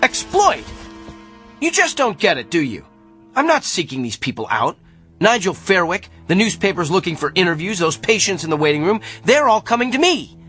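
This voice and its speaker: Arrogant, self-important doctor. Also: male voice, high voice, big pitch swings, normal speed, fairly bright tone, soft background music. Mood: defensive, grandiose. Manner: pompous, defensive. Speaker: actor in a radio drama. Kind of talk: radio drama acting.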